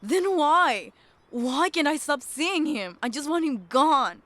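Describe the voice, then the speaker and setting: Slow, hesitant young wife voiced by an actress. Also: female voice, high-pitched, expressive, fairly bright tone, dry recording, heavily acted. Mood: distraught, pleading. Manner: hesitant, halting. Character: John's distressed, timid wife, also as a teenage girl. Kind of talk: audio drama acting.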